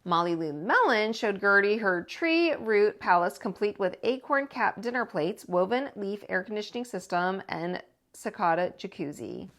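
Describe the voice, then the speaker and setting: A woman reading a children's picture book aloud as a storytime host, with expressive, drawn-out emphasis. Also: mid-range voice.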